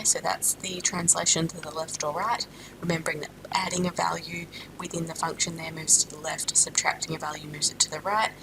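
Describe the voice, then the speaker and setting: A woman's voice: lowish voice, creaky, noisy background. A woman from Australia teaching a maths lesson with a blocked-up nose from a sinus infection, explaining steadily with frequent ums and self-corrections. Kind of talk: recorded classroom lesson.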